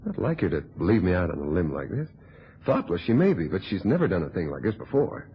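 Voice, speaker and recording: Puzzled houseguest in old radio drama, really deep gravel-husky voice, hesitant, muffled sound. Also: male voice, big pitch swings, fast talker, exaggerated voice. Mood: puzzled, put out. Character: puzzled male visitor, easygoing but increasingly exasperated. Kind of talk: radio thriller acting.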